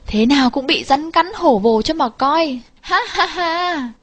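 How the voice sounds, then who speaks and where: A woman's voice: high-pitched, animated, measured pace, a bit bright, pitched above the performer's own voice. A woman voicing a scheming rich old man, sly and falsely friendly, in a fairy tale. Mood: gleeful mocking.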